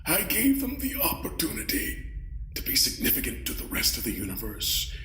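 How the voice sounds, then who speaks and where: A man voicing an ancient, courtly alien mind-parasite in an audio drama, pompous and smugly menacing, savoring his pauses. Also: on the high side, wildly swooping pitch, sharp tone, full character voice. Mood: haughty. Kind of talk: scripted audio drama acting. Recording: roomy echo.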